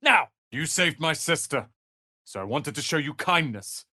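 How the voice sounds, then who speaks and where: Proud young tiefling wizard, haughty, biting, bright forceful voice, dramatic game acting, very dry recording. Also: male voice, fairly high pitch, animated, a little slow, no echo, exaggerated voice. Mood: grudging, sincere. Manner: haughty, theatrical, clipped and biting. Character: proud, sharp-tongued young tiefling wizard's apprentice, male.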